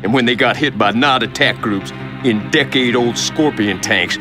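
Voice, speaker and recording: A man playing a gruff, suspicious shopkeeper in a sci-fi drama, talking in a blunt, folksy way. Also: mid-range voice, moderate pace, close to an everyday voice. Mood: bitter, hostile. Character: gruff, bitter male shopkeeper, suspicious of outsiders. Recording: music under the dialogue.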